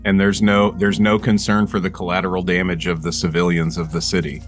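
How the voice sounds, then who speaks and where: A man's voice: deep voice, flat delivery, brisk. A man playing a tabletop game, chatting casually about the group's moves. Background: background music.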